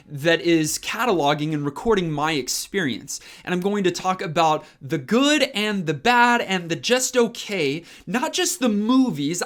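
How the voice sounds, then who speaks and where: An informal, enthusiastic video host talking casually through a review and repeating words for emphasis. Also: mid-range voice. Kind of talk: casual chatty review vlog to camera.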